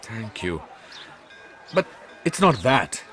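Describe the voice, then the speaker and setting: An actor with an Indian accent playing an excitable, good-natured thriller writer and comic sidekick in a radio drama, with theatrical delivery. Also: male voice, on the low side, slow pace, slight background noise, heavily acted. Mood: wistful.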